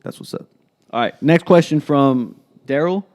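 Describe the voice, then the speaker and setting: A man's voice: fairly high pitch, a little mellow. Easygoing young man hosting a podcast. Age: 20s. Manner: easygoing, asks quick questions, laughs often. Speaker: podcast host. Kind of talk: casual chat.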